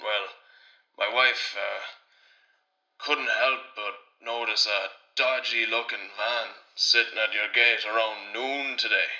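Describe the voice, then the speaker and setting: A neighbourly Irish tradesman, played by a man in a radio comedy-drama voicemail. His voice is on the low side and very forceful, yet he goes about things hesitantly and deferentially. The room echoes around him. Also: lo-fi sound. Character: neighbourly Irish tradesman, awkwardly breaking worrying news.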